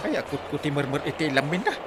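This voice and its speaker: A man acting a gruff, selfless village captain in a drama serial, speaking haltingly with many interjections. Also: fairly high pitch, big pitch swings. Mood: tired, concerned.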